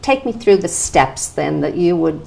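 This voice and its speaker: Slightly hoarse woman hosting a TV interview. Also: lowish voice, expressive, a little slow, darkish tone. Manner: conversational, acts out a caller's worries while asking. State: hoarse from a cold. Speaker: television talk show host. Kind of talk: interview questions. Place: television studio.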